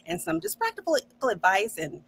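A woman's voice: extremely high pitch, wildly swooping pitch, moderate pace, creaky. A middle-aged woman hosting a podcast on a video call, speaking in an affirming way and laughing often. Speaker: podcast host, neuro coach. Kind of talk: casual podcast conversation. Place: home office.

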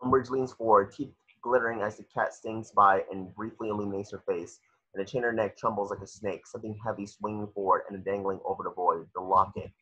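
A man with a husky voice narrating screenplay stage directions at a table read over a video call. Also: fairly low pitch, steady tone, a little fast, pushed and bright, dull audio.

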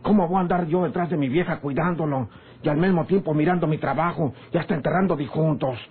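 An actor with a northern Mexican accent playing a sly, quarrelsome, rustic ranch hand in a radio drama, his voice husky. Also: fairly high pitch, fast. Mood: agitated. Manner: rustic, colloquial. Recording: muffled old radio serial recording.

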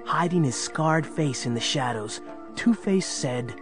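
A man's voice: fairly high pitch, animated, unhurried. A man narrating an audio story in a dramatic, scripted storytelling style. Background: music.

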